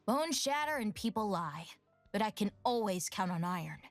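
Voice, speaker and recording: A woman's voice: medium pitch, big pitch swings, normal speed, dry recording, faint music behind. A woman voicing a hardened, vengeful, impatient sixteen-year-old armored warrior girl in a video game, blunt and defiant. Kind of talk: video game character voice lines.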